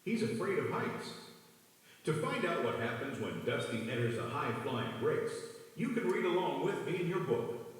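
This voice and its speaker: A man narrates a children's storybook with lively intonation and a slightly high voice. The recording is heavily echoed and distant, with music playing behind him. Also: normal speed. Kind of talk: scripted children's read-along narration.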